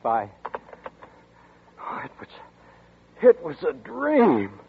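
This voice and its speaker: Haunted young man, tense brooding narration, lively pitch, old 1940s radio drama, thin tinny sound. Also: extremely slow, gravelly, breathy edge, dry recording, full character voice. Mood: groggy. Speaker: narrator and lead character.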